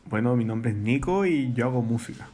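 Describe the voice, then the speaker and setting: A Chilean man, a musician guest, talking in a relaxed, rambling way with many fillers. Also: medium pitch, a little fast. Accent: Chilean Spanish. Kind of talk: casual interview chat.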